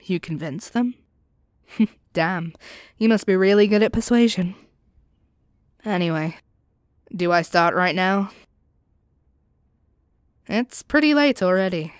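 A woman playing a cocky young commoner thief in an expressive, sardonic audio roleplay, speaking straight to the listener. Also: lowish voice, slow, pauses often, clear, resonant tone, no echo, clean studio sound. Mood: teasing, sardonic. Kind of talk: audio roleplay acting, one-sided dialogue addressed to the listener.

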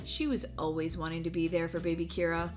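A woman playing a gentle, doting young father who speaks in a soothing, sentimental way. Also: lowish voice, level tone, quick pace, faint music behind, close to an everyday voice.

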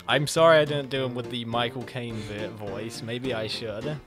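A man's voice: medium pitch, fairly even intonation, moderate pace. A man hosting a comic reading with casual, sarcastic comedic commentary. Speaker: host and comic reader. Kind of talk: casual comedic commentary and mock-dramatic narration. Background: music.

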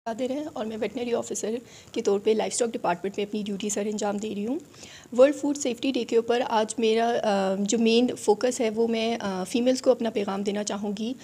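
A young Pakistani woman speaks in a slightly high, mellow voice with a husky edge. She gives an explanatory public message into a handheld mic indoors, peppered with English terms. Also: steady tone, brisk. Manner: explanatory, repetitive filler phrases and many English terms. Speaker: veterinary officer. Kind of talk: prepared awareness message to camera. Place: indoor event hall, in front of a banner. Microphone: handheld reporter microphone.

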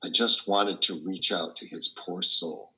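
An older man playing a troubled, tender priest who confesses his doubts haltingly and fervently in a play reading over a video call. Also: low voice, fairly even intonation, sharp tone, exaggerated voice, low-quality recording. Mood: anguished, impassioned. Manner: halting, fervent, with repeated words. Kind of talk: dramatic monologue in a play reading. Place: wood-panelled room at home, indoors. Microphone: video call, computer microphone.

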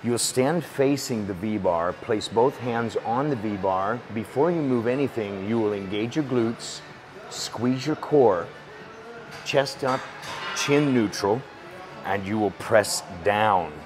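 A man in his forties giving calm, steady step-by-step workout instructions as a voice-over. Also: fairly low pitch, slow. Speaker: fitness instructor. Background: music.

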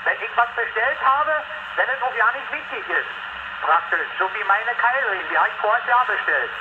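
A man's voice: high voice, lively intonation, normal speed. A man chatting casually as an operator over CB radio. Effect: heard over CB radio. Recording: noisy muffled CB radio reception.

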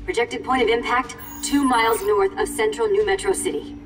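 A dutiful, formal superhero captain, played by a woman in a video game. Her voice is fairly high, set back from the mic in a long reverb, with faint music. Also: steady tone, normal speed, recorded from a distance, close to an everyday voice. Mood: urgent, formal. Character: brisk, military female superhero captain, adult. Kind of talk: video game cutscene acting.

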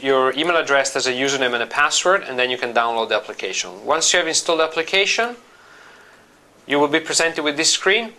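A middle-aged man with an Italian accent, a native Italian speaker, walking through how to use a software application in an office, explaining in a relaxed way with frequent fillers and restarts. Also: on the high side. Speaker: company representative demonstrating software. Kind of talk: product walkthrough.

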